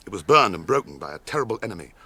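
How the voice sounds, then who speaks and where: Low, forceful, wary ranger. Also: male voice, sing-song, vocal fry, slightly rough, full character voice. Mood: grave. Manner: measured, solemn, formal. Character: Strider, grave wary weathered ranger guiding hobbits. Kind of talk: radio drama acting.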